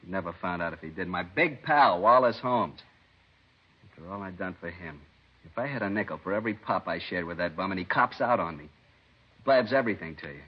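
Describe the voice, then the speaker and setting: A man playing a sullen, evasive addict under police questioning in a 1950s radio drama, husky, slangy and defensive. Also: low voice, expressive, brisk. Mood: bitter, betrayed. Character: defensive, evasive male drug-addict murder suspect, bitter and jittery. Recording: dull vintage radio recording.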